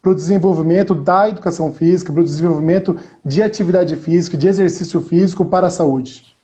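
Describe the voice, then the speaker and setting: A man hosts a live interview over a video call. His voice is a little high and warm, and his phrasing is measured and formal. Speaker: host, physical education teacher.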